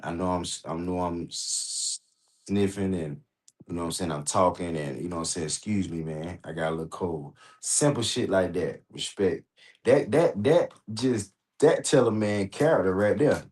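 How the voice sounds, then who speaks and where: Low-pitched male, American accent, animated casual rant, heavy swearing, repetitive emphasis. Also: normal speed. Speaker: host reacting on a livestream. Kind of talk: casual rambling livestream talk.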